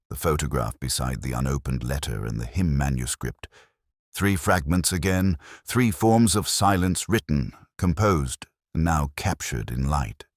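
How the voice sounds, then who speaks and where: Very deep-voiced man acting a brooding detective narrator. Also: dry recording, studio quality, heavily acted. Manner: measured, literary, brooding. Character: reflective, sceptical male English police detective inspector. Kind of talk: scripted first-person mystery narration.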